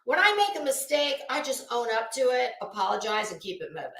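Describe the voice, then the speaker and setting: Female livestream host: high, bright voice, unhurried delivery, scornful and sarcastic, casual commentary straight to viewers, echoey room. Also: sing-song, slight background noise. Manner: blunt, sarcastic, scornful, swearing freely.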